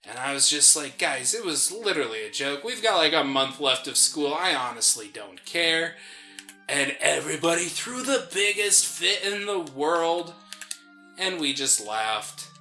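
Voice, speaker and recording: A man's voice: on the high side, a little slow, faint music behind. An American man co-hosting a gaming show, telling stories in casual banter and acting out the reactions he quotes. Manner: animated storyteller who acts out quoted reactions. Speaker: gaming podcast co-host. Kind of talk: casual banter while playing a video game.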